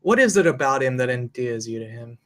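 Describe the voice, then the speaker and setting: A man playing a polite defense attorney, formal and measured, at a screenplay table read. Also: mid-range voice, animated, fairly bright tone, natural voice.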